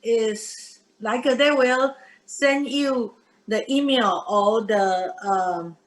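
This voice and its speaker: A woman from Taiwan whose first language is Mandarin, speaking English haltingly with fillers as an interview guest. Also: fairly high pitch, rough recording. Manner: hesitant, halting, with many fillers and soft laughs. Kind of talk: casual chat.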